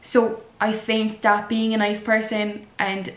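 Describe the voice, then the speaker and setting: A woman's voice: mid-range voice, level tone, slow. Young girl vlogging, rambly and earnest, lots of likes and ums, echoey room. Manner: chatty, earnest, rambling with fillers. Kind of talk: casual advice chat to camera.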